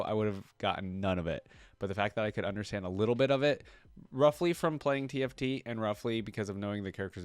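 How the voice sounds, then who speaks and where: A man's voice: lowish voice, clean tone, no echo. A man hosting a reaction video, giving casual, conversational commentary that rambles with plenty of filler words.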